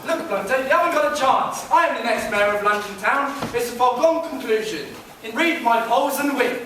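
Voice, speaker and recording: Man as a shrill, boastful pantomime candidate. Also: lively intonation, a little fast, a bit bright. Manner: pompous, argumentative. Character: boastful, petulant comic male mayoral candidate. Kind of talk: pantomime stage acting. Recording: distant, echoey stage with noise.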